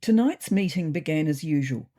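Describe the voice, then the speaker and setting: A woman's voice: deep voice, lively intonation, normal speed, dark tone. A woman narrating a scripted comic diary story for a fiction podcast, with wry, dry understatement.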